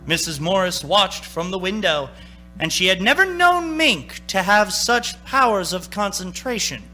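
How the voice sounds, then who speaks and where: A man's voice: high voice, sharp tone, heavily acted. A man acting as a suspenseful, theatrical storyteller narrating a radio thriller. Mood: measured. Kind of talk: dramatic scripted narration.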